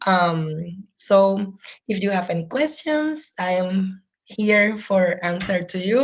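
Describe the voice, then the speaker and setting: A woman's voice: mid-range voice, dull audio. A woman from Puerto Rico with a Puerto Rican Spanish accent, a native Spanish speaker, introducing herself as a program coordinator on a video call, searching for words in English.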